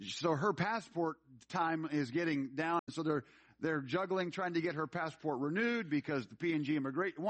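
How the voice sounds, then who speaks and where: A man preaching a sermon as a pastor to his congregation, emphatic and repeating phrases for effect, yet conversational, slipping into anecdotes and asides. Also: fairly high pitch. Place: speaking before a live congregation.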